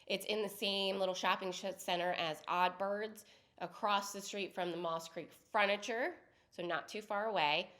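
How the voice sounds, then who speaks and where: A woman's voice: mid-range voice, fairly bright tone. A woman co-hosting a podcast with a head cold, chatting through a list of local events in a casual, friendly way. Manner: chatty, lists events and details conversationally. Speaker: podcast co-host.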